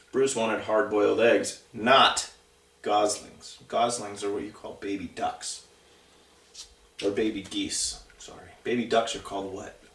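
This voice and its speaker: A man's voice: fairly low pitch, a bit bright, slight background noise. A man reading a picture book aloud to young children, conversationally, with chatty asides and questions to the listeners. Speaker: storybook reader. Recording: roomy echo, distant mic.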